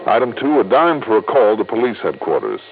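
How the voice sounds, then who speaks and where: An actor playing a cool, dogged insurance investigator in a 1950s radio drama, clipped and guarded in manner. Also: male voice, on the low side, sing-song, a little fast, gravelly, husky, heavily acted. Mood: brisk, intent. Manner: clipped, hard-boiled, guarded. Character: cool, dogged male insurance investigator narrating his case. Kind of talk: 1950s radio detective acting and narration. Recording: tinny, band-limited old radio recording.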